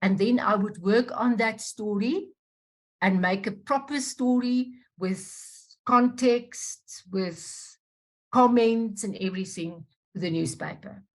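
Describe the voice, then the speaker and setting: A woman speaking as a journalist on a panel over a video call, talking in a halting way with many restarts. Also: medium pitch. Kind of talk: unscripted panel talk.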